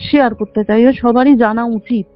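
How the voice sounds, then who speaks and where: A woman's voice: fairly high pitch, fairly even intonation, quick pace. A woman of about twenty earnestly recounts a personal story in detail on a call. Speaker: caller telling their own story. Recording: dull band-limited phone call, faint music. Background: faint background music.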